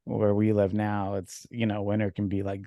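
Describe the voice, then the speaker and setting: A man from Ukraine whose first language is Russian, hosting a podcast over a video call in relaxed, filler-heavy chat. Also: lowish voice, normal speed, dry recording, studio quality. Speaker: podcast host. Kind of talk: casual interview chat.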